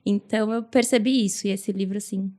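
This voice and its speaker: A woman with a Brazilian Portuguese accent chats as a guest on a podcast. Her voice sits at a middle pitch. She speaks informally, in the loose, chatty way of friends talking. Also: steady tone, brisk, mellow. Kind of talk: casual chat.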